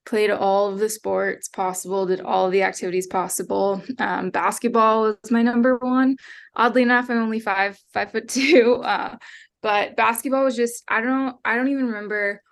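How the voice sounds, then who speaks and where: Gravelly-voiced young woman laughing mid-sentence. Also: medium pitch. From Pennsylvania, United States. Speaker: guest, social media manager. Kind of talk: casual interview chat. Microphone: video call.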